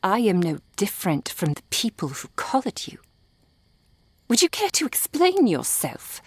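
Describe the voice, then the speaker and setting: A woman acting a composed, refined, cold-hearted merchant in an ASMR roleplay, with formal, precise, measured diction. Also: medium pitch, huge pitch swings, slightly rough, no echo, full character voice. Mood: offended, indignant. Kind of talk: ASMR roleplay audio drama.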